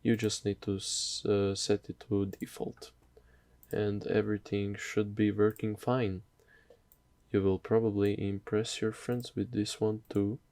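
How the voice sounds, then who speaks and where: Low, monotone man giving a slow tutorial. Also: dry recording. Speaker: software tutorial host. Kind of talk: casual screen tutorial narration.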